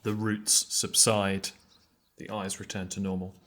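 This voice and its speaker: Guy playing a tabletop rpg, fairly low voice, improvising in and out of character. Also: little pitch movement, unhurried, a bit bright. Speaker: tabletop roleplaying player. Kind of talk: improvised roleplay and table talk.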